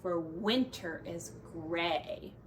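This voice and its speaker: Lady reading as dreamy lil boy mouse, calm n simple, really slow, storybook style. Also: fairly low pitch, recorded from a distance, slight background noise, pitched below the performer's own voice. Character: gentle dreamy male field mouse, a quiet poet.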